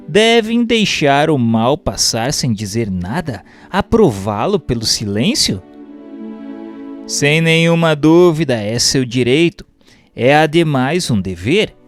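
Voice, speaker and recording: A Brazilian man narrates a formal text in a slow, didactic manner. His intonation moves widely, giving the measured reading plenty of shape. Music plays softly behind his voice. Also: medium pitch. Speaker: audiobook narrator reading a Spiritist book.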